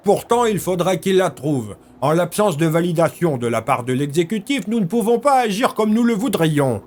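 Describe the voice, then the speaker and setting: A man's voice: high voice, sing-song, moderate pace, a little mellow. A man playing a refined, scheming military leader in an audio drama, with urbane, courteous and smug manners. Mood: smug, persuasive. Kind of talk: French audio fiction acting.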